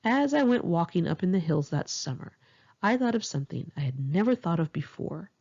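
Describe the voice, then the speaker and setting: Expressive creaky-voiced woman reading her memoir. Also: fairly low pitch, fairly quick, muffled recording. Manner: candid, wry, profane, conversational. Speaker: author reading own memoir. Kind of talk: memoir audiobook narration with casual asides.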